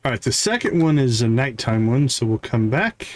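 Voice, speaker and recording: A man's voice: mid-range voice, sing-song, normal speed. A man giving casual gameplay commentary as a game streamer. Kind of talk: casual gameplay commentary, reading dialogue aloud. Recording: music playing behind the voice. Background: game music.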